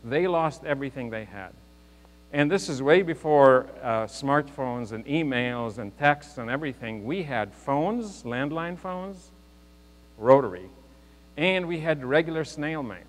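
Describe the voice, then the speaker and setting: A man's voice: medium pitch, animated, a little slow. Man speaking in an echoing hall. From Lebanon. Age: 50s. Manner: earnest, joking, speaks to the crowd. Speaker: guest speaker. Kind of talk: motivational speech to a youth audience. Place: large hall with a live audience. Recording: reverberant hall, mains hum.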